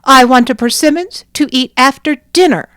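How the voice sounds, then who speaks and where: A woman voicing a fierce, bossy little girl in a storybook reading, with shrill, demanding lines. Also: normal speed, fairly bright tone, no room sound, studio quality.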